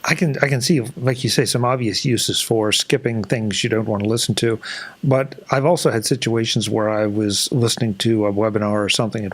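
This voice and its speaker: An older man, hosting a conversational interview over a video call from a small office, close to a desk microphone. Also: lowish voice. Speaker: show host interviewer.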